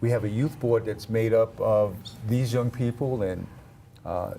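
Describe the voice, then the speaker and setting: A middle-aged man answering interview questions in a measured, halting conversational way. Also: fairly low pitch, vocal fry, warm tone. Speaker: arts organization leader, interview guest. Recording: faint background noise.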